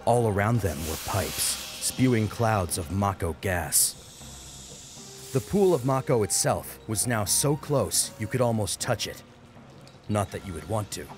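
Casting: male audiobook narrator, low and lively, dramatic scripted delivery over a music bed. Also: unhurried.

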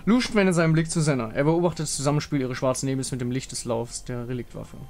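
A man's voice: medium pitch, fast, a little mellow. A man narrating a fantasy game story in a dramatic scripted style. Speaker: narrator reading visual novel dialogue. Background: music.

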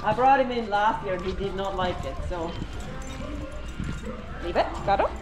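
A woman's voice: medium pitch, normal speed, music in the background. A woman, a service dog handler, chatting casually and praising her dog with cheery coaxing while walking through a mall. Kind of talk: casual vlog chat while training a dog. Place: indoor shopping mall and stores. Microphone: action camera worn low on a dog. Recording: loud mall background noise.

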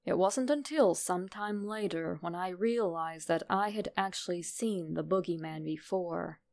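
A woman narrating a horror story in a measured, deliberate, dramatic way. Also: on the low side, darkish tone.

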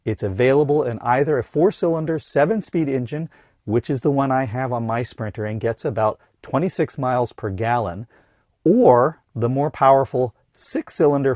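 Hoarse man reading a van review. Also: mid-range voice, no room sound, dull audio. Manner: steady, informative, teleprompter reading. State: hoarse from a cold. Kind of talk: scripted review narration. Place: inside a camper van.

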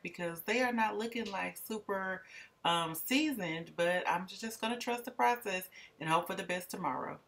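Middle-aged woman vlog host, lower voice, wry and candid, casual voice-over narration. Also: a bit bright.

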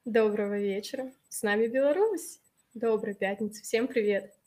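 A woman in her thirties, a little hoarse from allergies, hosting a live webinar on a video call and reading out and answering viewers' chat messages in a friendly way. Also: a little high, moderate pace, darkish tone. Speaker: webinar host. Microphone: webcam on a video call.

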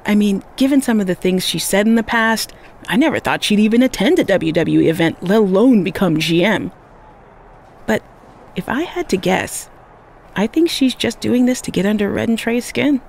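A woman's voice: medium pitch, expressive, moderate pace, gravelly, a little mellow, slight background noise. A woman playing a goofy, opinionated podcast co-host in a video game, chatting in a scripted show. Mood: considered.

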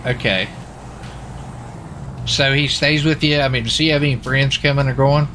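A man with a medium-pitched voice improvises as a police officer in an online game. He questions people casually and haltingly, rambling a little. Faint ambient noise sits behind him. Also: steady tone, pushed and bright. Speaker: streamer playing a police marshal in roleplay.

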